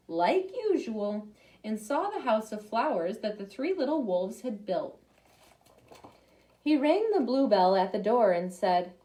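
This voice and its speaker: A young woman reads a children's picture book aloud in a high, very lively voice. Her tone is mellow and she takes her time, adding asides for young listeners. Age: young adult. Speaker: library storytime reader.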